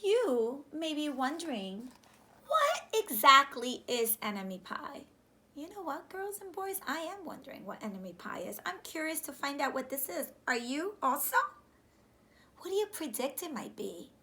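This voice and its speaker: High-pitched, expressive woman reading a storybook. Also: moderate pace. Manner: expressive, addresses listening children with questions. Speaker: teacher reading aloud to pupils. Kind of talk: children's storybook read-aloud. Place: small furnished room indoors, seated on sofa.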